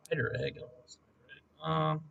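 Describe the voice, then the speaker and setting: A young man chatting casually and joking while he builds a map on stream, thinking out loud as he works. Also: fairly high pitch, little pitch movement, slow pace, vocal fry, dull audio, slight background noise. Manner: joking, laid-back, thinking aloud. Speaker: mapmaker streaming his work. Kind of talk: casual chat while working.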